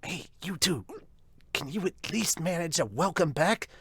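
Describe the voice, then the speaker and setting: Man putting on a gentle older sister. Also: fairly high pitch, animated, measured pace, slightly rough, pitched above the performer's own voice, heavily acted. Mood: pleading. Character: gentle young woman, peacemaking older sister.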